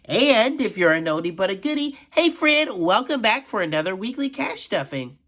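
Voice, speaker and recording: A middle-aged woman vlogging about her budget in a chatty, friendly way, a little raspy from allergies. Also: mid-range voice, sing-song, moderate pace, fairly bright tone. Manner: chatty, addresses viewers as friends. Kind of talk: casual chat while counting cash.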